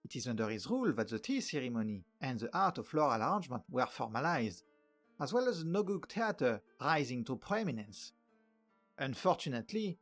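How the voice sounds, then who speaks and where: A man from France with a French accent narrating a history video, with wry joking asides. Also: mid-range voice. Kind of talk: scripted narration.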